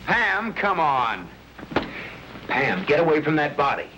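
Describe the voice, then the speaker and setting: An actor playing a steady, protective, mildly exasperated husband and amateur sleuth in an old-time radio mystery. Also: male voice, a little high, takes it slowly, noisy background. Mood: urgent. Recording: old radio broadcast with music.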